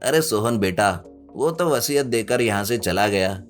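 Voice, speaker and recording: A man's voice: lowish voice, lively intonation, measured pace. A man playing a kindly, avuncular family lawyer in a story. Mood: calm. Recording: music behind the voice.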